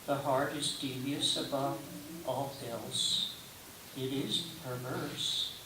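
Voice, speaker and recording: Slow, echoey man reading scripture. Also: medium pitch, steady tone. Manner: deliberate, declamatory phrasing with pauses between short phrases. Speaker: scripture reader. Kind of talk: solemn liturgical scripture reading. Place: roomy indoor church gathering space. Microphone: distant microphone. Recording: distant mic, echoey room, clear hiss.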